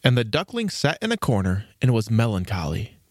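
A man's voice: on the low side, huge pitch swings, brisk, no room sound. Man narrating a storybook, dry sound.

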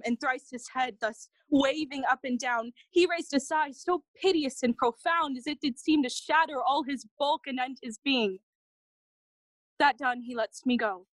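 An anxious, dutiful young noblewoman in a Shakespearean audio drama. Her voice is high, with an earnest, dramatic verse delivery in a dry, close space. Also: a bit bright, no echo, rough recording. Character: frightened, obedient young noblewoman and daughter. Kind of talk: Shakespearean audio play acting.

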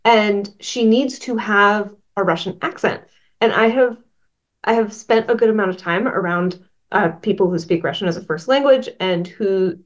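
A woman's voice: mid-range voice, lo-fi sound. A woman being interviewed as a comic writer, earnestly explaining her work at length with frequent ums. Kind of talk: thoughtful casual interview talk.

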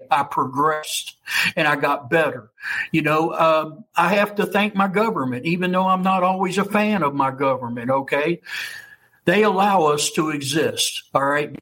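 A man's voice: a little high, sharp tone, low-quality recording. A man in his fifties with a Kentucky accent, telling his story as an interview guest in a blunt, salty, conversational way. He keeps checking in with his listener with "okay?" and "you know.". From United States. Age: 50s. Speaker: interview guest, ayahuasca ceremony leader.

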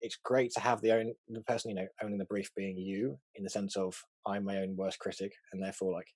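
A young man from England with a neutral English accent, a fast-talking, chatty interview guest on a video call, full of fillers and quick laughs. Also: lowish voice, little pitch movement, darkish tone, breathy edge, no room sound. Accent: English, neutral southern. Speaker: interview guest, art director.

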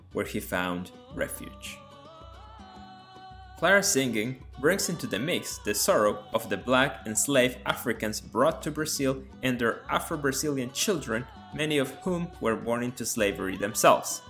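Male host, Spanish native, calm scripted narration, faint music bed. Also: mid-range voice. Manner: measured, explanatory lecture tone. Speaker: podcast host explaining song lyrics.

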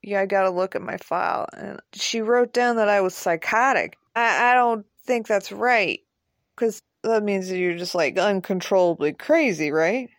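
A woman's voice: mid-range voice, normal speed, no room sound, studio quality, natural voice. A woman voicing a brash, streetwise male ex-convict with a blunt, slangy, aggrieved manner in an audio drama. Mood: wry, puzzled.

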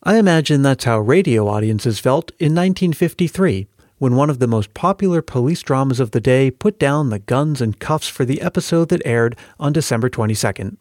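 A man's voice: mid-range voice, expressive, a little fast, darkish tone, no echo, studio quality. A man hosting a podcast, reading scripted narration in an addressing-the-listener style. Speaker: podcast host. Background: music bed.

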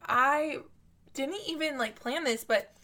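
A young woman in casual chat, telling animated stories and laughing often. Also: high voice, slow pace. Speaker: podcast host.

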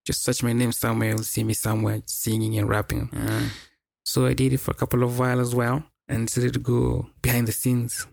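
A young man with a Kenyan accent hosts a casual solo podcast in a gravelly, mid-pitched voice. The recording is dry and close, and he talks in loose slang, doubling up words for emphasis. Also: monotone, a little fast, darkish tone, no echo. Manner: slangy, repeats words for emphasis, mixes in Swahili phrases. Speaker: podcast host.

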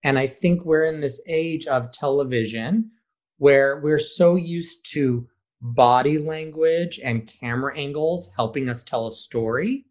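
A man's voice: on the high side, a little slow, a little mellow. Emphatic man chatting in an interview. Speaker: high school theater director, interview guest. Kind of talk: conversational interview answers.

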